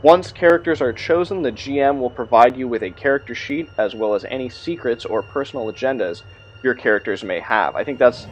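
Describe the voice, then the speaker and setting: A man presenting a tabletop roleplaying game, reading scripted text aloud with measured, storyteller-like delivery and adding his own commentary. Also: medium pitch, slight background noise, low-quality recording. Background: music behind the voice.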